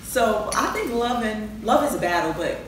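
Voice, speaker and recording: A woman's voice: mid-range voice, very animated, moderate pace. A woman in her thirties chatting casually and telling personal stories as a panelist in a group talk. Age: 30s. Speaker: panelist on a relationship talk show. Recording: very echoey room, distant mic, clear noise.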